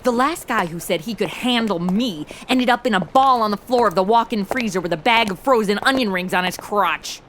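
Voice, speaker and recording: A woman's voice: mid-range voice, fairly quick, hardly any pauses, fairly bright tone, a little hiss or hum, close to an everyday voice. A woman playing a brash, impulsive modern American sidekick in a comedy audio drama, slangy, blunt and sarcastic.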